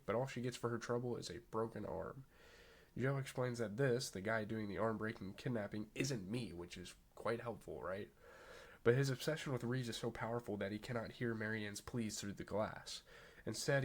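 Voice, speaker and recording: A man with a stuffy, nasal voice hosting a casual episode recap, rambling with lots of fillers and the odd swear word. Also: fairly low pitch. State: congested, nasal. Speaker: TV review channel host.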